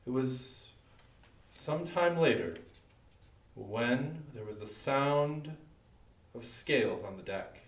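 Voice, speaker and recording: A man telling a horror folktale dramatically, deliberate and suspenseful, with long pauses. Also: medium pitch, expressive. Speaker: storyteller. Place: indoor room, live online performance. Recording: echoey room, distant microphone.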